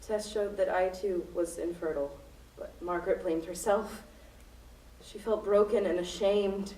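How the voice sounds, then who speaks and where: Young actress as a volatile grieving widow, roomy recording. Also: female voice, medium pitch, fairly even intonation, a little slow, mellow, lightly acted. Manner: dramatic, volatile, poetic. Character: distraught grieving widow, armed hostage-taker telling her love story. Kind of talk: student radio play acting. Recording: roomy distant stage recording with music.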